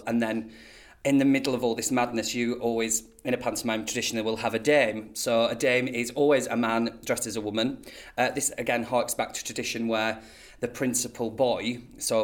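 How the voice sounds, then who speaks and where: A British man, an actor being interviewed, explaining things with enthusiasm. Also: mid-range voice. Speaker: pantomime dame actor, interview guest.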